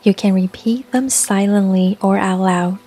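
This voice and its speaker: A woman narrating a scripted guided meditation in a calm, soothing, unhurried way, with long pauses between phrases. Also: medium pitch. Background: soft music and rain sounds.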